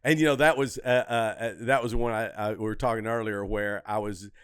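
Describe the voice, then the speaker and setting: A man's voice: on the low side, fairly even intonation, fairly quick, fairly bright tone, smooth, dry recording, clean studio sound. An older man in a casual podcast conversation, hosting and telling stories in a rambling, repetitive way. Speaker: comedy podcast host and club owner.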